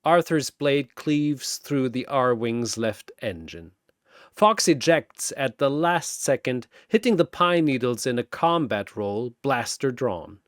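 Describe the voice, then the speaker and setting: Guy doing dramatic battle story narration, takes his time, big swings in pitch, theatrical punchy phrases. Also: very animated, slow pace, dry recording, clean studio sound.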